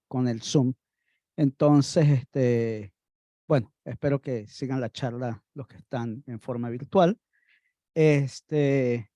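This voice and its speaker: A man's voice: mid-range voice, mellow, dry recording. A man hosting an academic colloquium, introducing the guest speaker hesitantly and with many filler words. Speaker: colloquium host. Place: lecture room.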